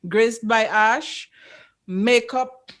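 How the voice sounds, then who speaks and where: A woman with a Caribbean English accent co-hosting a casual podcast, talking in a playful, slangy way and giving shout-outs. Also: on the high side, very slow. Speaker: podcast co-host.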